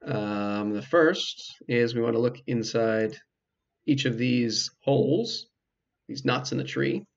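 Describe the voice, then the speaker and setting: A man giving casual, explanatory let's play commentary, with hesitant pauses as he goes. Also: mid-range voice, highs cut off. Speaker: video game player and commentator.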